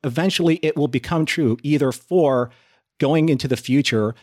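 A man speaking as a podcast panelist in a reflective discussion, earnest and philosophical, with measured phrasing. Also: fairly high pitch, a little mellow.

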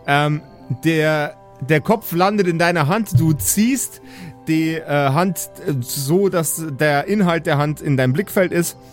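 A man with a voice a little higher than average hosts and runs an improvised tabletop game. He moves between narrating and casual banter, with soft music underneath. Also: slow pace. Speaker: game master and podcast host.

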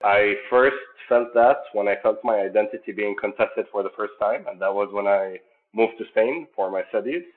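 A man from Jordan, founder of a sports program, answering interview questions in an earnest, measured way with many fillers. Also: fairly low pitch. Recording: tinny phone-like line.

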